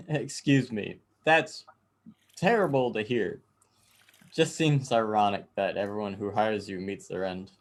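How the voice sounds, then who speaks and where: Slow-talking, smug aristocrat lord, acted by a man. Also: lowish voice. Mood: wry, amused. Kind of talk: dramatic script reading.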